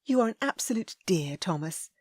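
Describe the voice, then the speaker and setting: A woman voicing a proud young high-born lady with sharp, huffy retorts. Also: expressive, normal speed, slightly rough, no room sound, clean studio sound. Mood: sweet.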